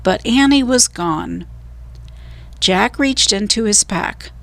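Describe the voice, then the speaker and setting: Female storybook narrator, unhurried and deliberate, medium pitch, suspenseful scripted children's storytelling, dry recording. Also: expressive, gravelly, fairly bright tone, no room sound. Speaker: storybook reader.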